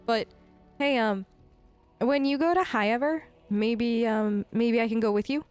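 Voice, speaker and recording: A woman hosting a let's play, improvising in character in a casual, halting, supportive conversational way. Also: fairly high pitch, clear, resonant tone, soft background music.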